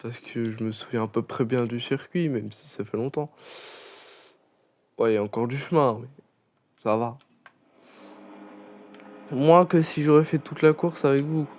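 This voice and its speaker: A young man drawls slowly through casual gaming chatter, restarting his sentences and filling gaps with hesitations. His voice sits at a middling pitch, with a faint hiss of background noise behind it. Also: a little mellow. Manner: rambling, chatty with many fillers and restarts. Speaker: gaming channel host. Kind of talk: casual gameplay commentary.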